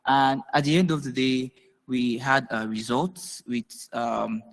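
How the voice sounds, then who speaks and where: A man giving a halting conference talk with many pauses and ums. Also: medium pitch, steady tone, gravelly. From Nigeria. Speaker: researcher presenting. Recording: long reverb of a hall.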